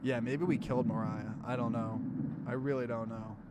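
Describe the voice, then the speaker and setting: A man's voice: mid-range voice, fairly even intonation, normal speed, heavy background noise. A man hosting a let's play, reading game narration aloud and adding his own commentary.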